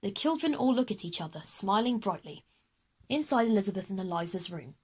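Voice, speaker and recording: A woman's voice: medium pitch, big pitch swings, brisk, husky. A woman reading scripted narration for a children's audio drama. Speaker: narrator and reader of all parts.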